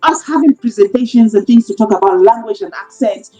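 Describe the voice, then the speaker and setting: Emphatic woman, higher voice. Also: fairly quick, dark tone, soft background music, rough recording. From Cameroon. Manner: emphatic, repeats her points for stress. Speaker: guest, academic. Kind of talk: conversational interview.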